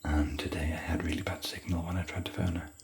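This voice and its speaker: Deep-voiced whispering man. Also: flat delivery, fast, breathy edge. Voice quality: soft whisper. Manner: rambling, chatty, gentle. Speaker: ASMR hotel room tour host. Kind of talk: whispered ASMR vlog. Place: hotel room.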